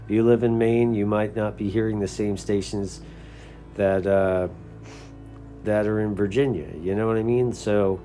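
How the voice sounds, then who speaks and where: Low-voiced American man, mellow, talking casually. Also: fairly even intonation, normal speed, faint music behind. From United States. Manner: earnest, conversational, explanatory. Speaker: CB radio hobbyist and video host. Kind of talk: casual unscripted talk.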